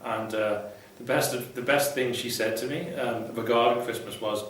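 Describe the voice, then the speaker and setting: A man's voice: mid-range voice, fairly even intonation, moderate pace, fairly bright tone. A man giving casual, unscripted advice to viewers in a blunt, irreverent, sweary way. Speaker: vlogger, ex-Jehovah's Witness advice host. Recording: very echoey room, distant mic, faint noise.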